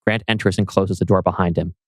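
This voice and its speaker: A man's voice: sits low, fairly even intonation, quick pace, dry recording, studio quality. A man narrating a screenplay, reading out scene directions as scripted narration.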